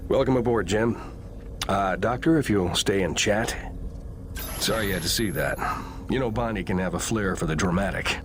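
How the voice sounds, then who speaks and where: An actor playing a brisk, diplomatic expedition boss in a video game, managerial in manner. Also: male voice, fairly low pitch, close to an everyday voice. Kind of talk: video game cutscene acting. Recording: noisy ambience behind voice.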